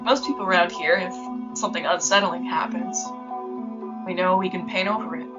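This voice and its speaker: Actress as folksy small-town woman, lowish voice, ominous measured horror narration, clear music behind. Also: steady tone, natural voice. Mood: brooding. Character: folksy small-town local, wary, brooding storyteller. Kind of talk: scripted first-person horror narration. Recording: muffled audio under prominent music.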